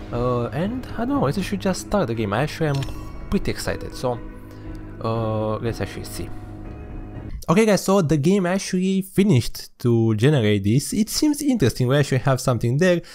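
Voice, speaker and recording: A man's voice: mid-range voice, a little mellow. A man in his thirties from Romania, speaking English with a Romanian accent as a second language, hosting casual gameplay commentary with many fillers and restarts. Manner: halting, many fillers and restarts, says "actually" constantly. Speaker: gaming channel host. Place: small room indoors. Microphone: desk microphone close to mouth.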